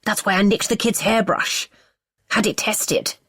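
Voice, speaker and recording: A woman reading the part of a furious, controlling man who snarls his words slowly and with scorn. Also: lowish voice, animated, breathy edge, dry recording, studio quality. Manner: snarling, slow and menacing.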